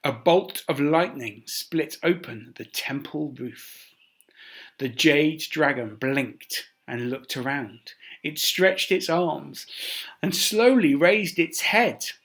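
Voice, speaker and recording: A man reading a children's story aloud as a teacher, expressive and with dramatic emphasis. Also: a little high, takes it slowly.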